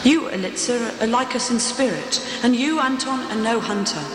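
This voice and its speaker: A woman acts out a proud and guarded female leader of hunted lion people in a sci-fi audio play. Her voice sits fairly high and is solemn and formal. It rings in a long, cavernous echo over noisy ambience. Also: normal speed, lightly acted. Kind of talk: sci-fi audio drama acting.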